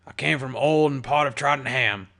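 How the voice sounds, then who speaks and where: A man's voice: mid-range voice, moderate pace, vocal fry, sharp tone. A man voicing a jovial old male ghoul pony bartender, chummy and calling everyone mate. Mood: chatty. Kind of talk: audiobook character voice.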